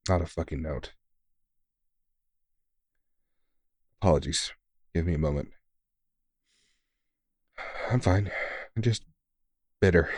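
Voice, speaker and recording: A man's voice: low voice, a little slow, a little mellow, no room sound. A man playing a big veteran vampire hunter in an intimate audio roleplay, talking bluntly and sweary, yet earnest and reassuring. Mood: bitter, subdued. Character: big, gruff but caring veteran male vampire hunter, mentoring.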